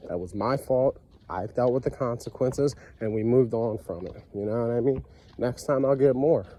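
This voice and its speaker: A man with a cold speaks in a mellow, relaxed voice, his nose stuffy and congested. He talks earnestly and conversationally, leaning on "you know" and repeating himself as he thinks aloud. A faint hiss of noise sits behind him. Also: mid-range voice. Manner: earnest, conversational, many fillers and repeated phrases. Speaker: vlogger sharing his recovery story. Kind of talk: casual personal monologue.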